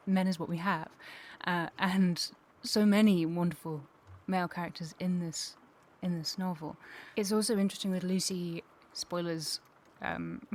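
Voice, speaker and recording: A woman's voice: fairly low pitch, darkish tone, slight background noise. A woman speaking as an interview guest, a voice actor talking thoughtfully and reflectively, feeling out her phrasing as she goes. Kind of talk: thoughtful panel discussion.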